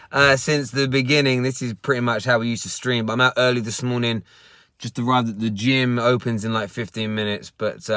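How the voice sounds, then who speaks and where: A man's voice: lowish voice, fairly bright tone, clean tone, dry recording, clean studio sound. Chatty man streaming from his car. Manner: chatty, repeats words and restarts, reads out viewer comments. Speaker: football channel host. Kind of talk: casual live stream chat. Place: parked car.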